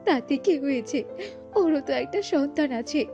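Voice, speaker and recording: A woman's voice: high voice, normal speed, dull audio. A woman voicing a grieving, vengeful mother snake in a cartoon, lamenting dramatically. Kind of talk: cartoon dub acting. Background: music.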